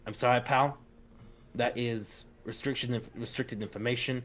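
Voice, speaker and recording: A man's voice: mid-range voice, fairly even intonation, normal speed, fairly bright tone, slight background noise, natural voice. A man doing a stiff, stalling producer. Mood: evasive. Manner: stiff, formal, hesitant phrasing. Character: evasive male producer, formal and stalling.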